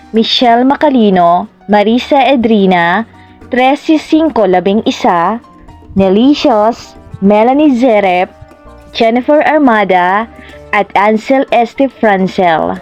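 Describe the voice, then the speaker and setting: A woman hosting a story channel, reading scripted thanks and shout-outs in a courteous, formal way. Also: fairly high pitch, muffled recording. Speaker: host of horror story channel. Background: music.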